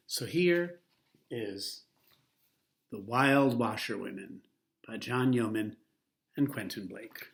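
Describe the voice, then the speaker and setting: A man reading a picture book aloud as a storyteller, with a lively, expressive delivery. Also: fairly low pitch.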